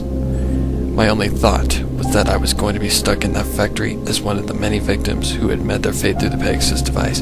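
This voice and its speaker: A man's voice: on the low side, creaky. A man reading a story in a somber, dramatic narrating style. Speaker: fan fiction narrator. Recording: loud music under the reading. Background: music.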